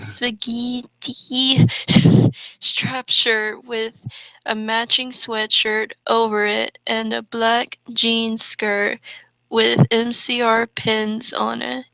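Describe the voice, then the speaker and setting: A woman co-hosting a casual comedic reading, reciting haltingly and deliberately with mocking asides. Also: a little high, flat delivery, creaky, fairly bright tone, smooth, no echo, low-quality recording. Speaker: co-host reading and mocking fan fiction.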